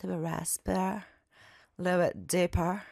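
A woman hosting a radio show, chatting and reading announcements in a raspy, slightly nasal voice after an allergy attack. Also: on the low side, normal speed, no echo, clean studio sound. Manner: chatty, loose and lively, with fillers. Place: radio studio. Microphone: studio microphone.

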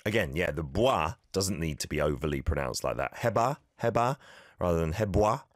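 A man hosting a radio show, coaching listeners through a phrase in a language he did not grow up speaking, relaxed and self-deprecating. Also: sits low, quick pace, dry recording, studio quality. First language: not Korean. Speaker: radio show host teaching Korean. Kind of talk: casual radio lesson chat.

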